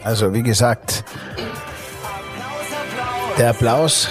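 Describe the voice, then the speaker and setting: Ein Mann mit österreichischem Akzent, der als Gastgeber im Gespräch lebhaft erzählt und oft nach Worten sucht. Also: male voice, medium pitch, takes it slowly, over music. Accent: Austrian German. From Austria. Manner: lively, searching for words with fillers and restarts. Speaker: podcast host. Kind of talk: casual interview talk.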